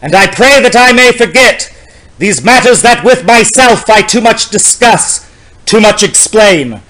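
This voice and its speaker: Male poetry reader, notably high register, solemn and incantatory, with dramatic, measured delivery. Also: lively intonation, bright, forceful tone, poor audio. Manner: solemn, deliberate, theatrical, incantatory.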